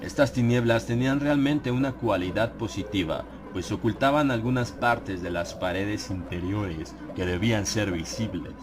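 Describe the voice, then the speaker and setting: A man narrating a dramatized horror audiobook in a solemn, measured manner with suspenseful pauses. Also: on the low side, loud music behind the voice. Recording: clear ambient sound effects behind the voice. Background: atmospheric horror sound effects.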